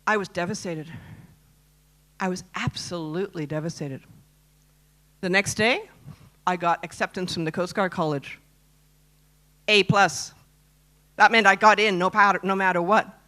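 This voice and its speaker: A woman's voice: lowish voice, measured pace, long pauses, bright, forceful tone. Woman, 50s, from Canada; conference speaker, chatty storyteller who asks the audience questions. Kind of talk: personal story talk to a live audience. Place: conference stage.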